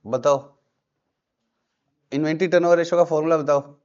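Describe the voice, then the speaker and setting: A man's voice: on the high side, fairly even intonation, normal speed, darkish tone, smooth. A male commerce teacher, lecturing in a classroom and quizzing his students with repeated questions. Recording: dull lo-fi classroom recording.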